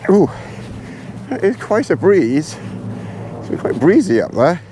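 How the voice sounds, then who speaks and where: A hoarse, congested man chatting casually to camera while hiking outdoors in the hills, rambling and poking fun at himself. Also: high-pitched, big pitch swings, slow, dark tone, a little hiss or hum. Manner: rambling, self-deprecating, chatty. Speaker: vlogger.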